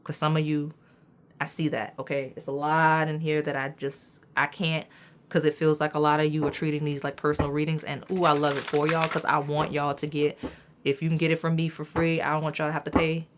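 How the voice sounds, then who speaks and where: A woman doing a pick-a-card tarot reading with a raspy voice, talking straight to her viewers in an emphatic, preachy way and checking in with "okay?" and "right?". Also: low voice, flat delivery, fairly quick, a little hiss or hum. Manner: casual slang, addresses viewers as y'all. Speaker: tarot reader.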